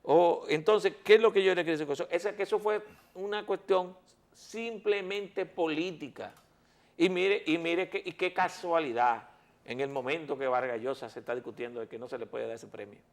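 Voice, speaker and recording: A man argues his case on a talk show with lawyerly insistence. His voice sits a little high, and he keeps repeating words to hold the floor. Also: fairly even intonation, normal speed. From Dominican Republic. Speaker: lawyer guest. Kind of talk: heated talk-show debate.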